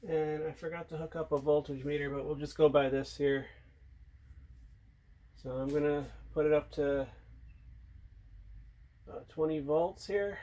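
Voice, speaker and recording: A man giving a casual, unscripted hands-on demonstration, thinking aloud in a low-key way as he works at an indoor bench. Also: fairly even intonation, measured pace, long pauses, warm tone. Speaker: hobbyist host showing a radio repair. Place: indoor workbench. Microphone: handheld or tripod camera mic.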